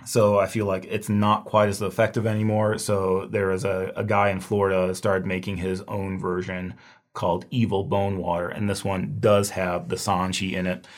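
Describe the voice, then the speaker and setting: A man's voice: deep voice, flat delivery, moderate pace. A man with a scratchy voice that is giving out, teaching a review lesson in a loose, rambling way with lots of fillers. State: losing his voice, scratchy. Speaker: herbal medicine instructor. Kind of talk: casual lecture review.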